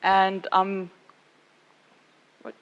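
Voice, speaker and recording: A woman from Denmark with a Danish accent, presenting to a conference audience in an informal, measured manner and checking in with her listeners. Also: mid-range voice, monotone, dull audio. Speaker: conference speaker.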